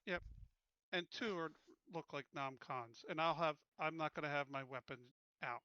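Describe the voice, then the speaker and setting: A man's voice: fairly high pitch, moderate pace, pushed and bright, clean tone, no room sound, dull audio. A man taking part in a tabletop roleplaying game as a player, chatting casually about his character's moves.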